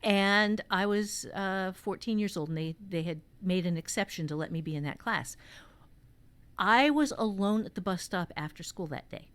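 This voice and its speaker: Woman podcast host, candid casual chat, emphatic, swears a bit, medium pitch. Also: normal speed, a bit bright, smooth. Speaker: podcast host and workshop teacher. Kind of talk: casual workshop chat and personal storytelling.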